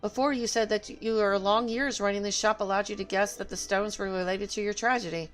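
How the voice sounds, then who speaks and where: A woman's voice: medium pitch, fairly even intonation, a little fast, faint music behind. A woman game streamer talking casually on a livestream and reading game dialogue aloud. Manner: opinionated, emphatic.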